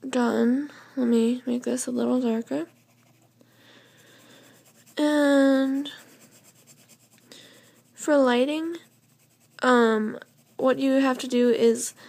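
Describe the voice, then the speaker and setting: A young woman with a slightly hoarse, fairly high voice walks through a drawing lesson step by step. Her delivery is casual and loose, full of fillers and quick self-corrections. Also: a little mellow. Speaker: drawing tutorial host. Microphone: camera over the drawing paper, speaker off frame.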